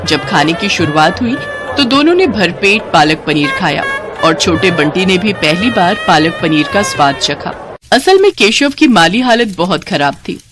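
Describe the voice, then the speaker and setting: A woman's voice: on the low side, big pitch swings, moderate pace, over music. A woman giving scripted narration of children's moral stories as a storyteller.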